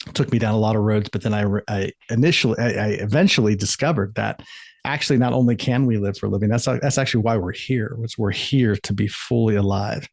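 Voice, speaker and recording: A man's voice: fairly low pitch, quick pace, gravelly, darkish tone, no room sound, clean studio sound. A middle-aged man from the United States, an author and life coach, answering interview questions in a thoughtful, earnest way with frequent restarts.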